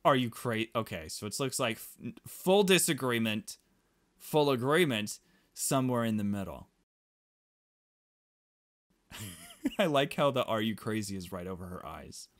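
A man's voice: medium pitch, expressive, a little slow, pauses often. Man playfully reading a visual novel aloud. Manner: playful, exclamatory asides. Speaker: let's player. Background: game music.

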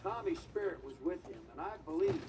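An actor playing a measured man speaking at a sports press conference in a game. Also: high voice, huge pitch swings. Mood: measured, proud. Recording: distant mic, faint room noise.

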